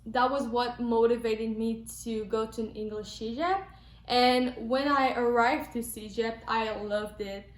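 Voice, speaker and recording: A woman's voice: a little high, steady tone, unhurried. Chatty young woman, Quebec French accent. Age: young adult. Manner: chatty, emphatic, restarts words often. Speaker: vlogger. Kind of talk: casual chat to camera. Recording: roomy echo.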